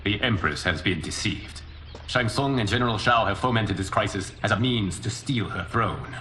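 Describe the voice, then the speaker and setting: An actor playing a calm, noble warrior protector in a game cutscene, speaking in a measured, formal and solemn way. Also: male voice, lowish voice, slight background noise, poor audio. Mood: grave. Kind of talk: dramatic video game cutscene acting. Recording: orchestral game music behind.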